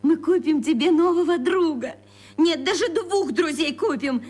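Fussy worried mom in a kids radio play, super high voice, swoops around a lot. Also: female voice, animated, moderate pace, a little mellow. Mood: coaxing. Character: doting, anxious mother of a schoolboy. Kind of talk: children's radio play acting.

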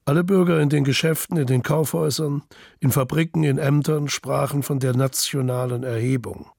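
A man's voice: medium pitch, fairly even intonation, fairly quick, creaky, darkish tone, dry recording, studio quality. A man reading scripted, documentary-like narration for a radio play.

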